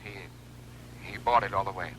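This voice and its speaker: Grim field engineer, low pitch, clipped delivery, 1950s sci-fi film, noisy old soundtrack. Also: male voice, steady tone, moderate pace, a bit bright. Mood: terse, urgent. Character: grim field engineer man blaming himself at crash site. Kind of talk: 1950s science fiction film acting.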